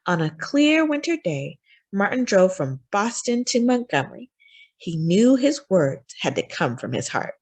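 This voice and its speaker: A woman reading a children's picture book aloud as a story time host, with expressive, deliberate phrasing for young listeners. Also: medium pitch.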